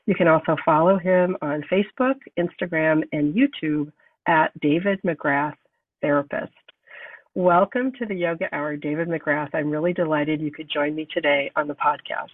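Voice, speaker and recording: A woman hosting a podcast, calm and unhurried as she guides a meditation, sounding nasal from a cold. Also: sits low, dry recording. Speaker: podcast host. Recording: thin phone-like sound, distant from mic.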